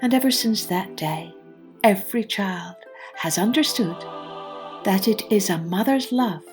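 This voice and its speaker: Female children's storyteller, unhurried, expressive scripted narration, with music. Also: medium pitch. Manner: expressive, deliberate phrasing. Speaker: storyteller and podcast host.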